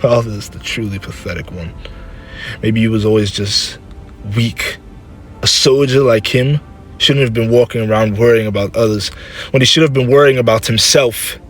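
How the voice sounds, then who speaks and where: A man's voice: mid-range voice, normal speed, faint music behind, close to an everyday voice. A man voicing a proud, menacing young warrior son, heated, defiant and scornful, in a dramatic story.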